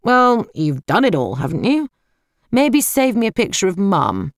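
A woman's voice: medium pitch, sing-song, measured pace, no room sound, studio quality, voice pushed up. A British woman voicing a smarmy, self-important middle-aged uncle in an audiobook, brusque and offhand.

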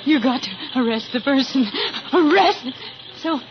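An actress playing a desperate, halting wife denouncing her husband in a 1940s radio melodrama. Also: high voice, close to an everyday voice. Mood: desperate pleading. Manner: halting, overwrought. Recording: muffled, noisy 1940s radio recording.

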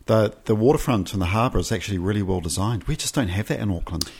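A man from New Zealand with a Kiwi accent, a documentary maker talking as a guest in a casual chat, measured and reflective. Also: lowish voice, expressive, creaky, a little mellow, husky.

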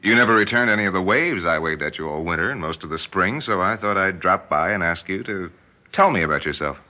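An actor playing a self-assured, persistent suitor in a 1960s radio drama, teasing and probing in an easy way. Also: male voice, low-pitched, lively intonation, quick pace. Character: confident, teasing, persistent male suitor and sportsman. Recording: dull 1960s radio recording.

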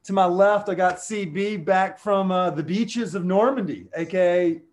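A man hosts a casual podcast. His voice sits high for a man, and he talks with loud, excited bursts. He drops in slang as he goes. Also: moderate pace. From US South. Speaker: podcast host. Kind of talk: casual podcast chat and sponsor read.

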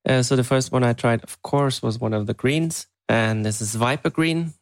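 Guy chatting on a podcast, creaky voice, thinks as he goes, very dry recording. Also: mid-range voice, normal speed, no room sound. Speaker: podcast co-host. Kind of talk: casual chat.

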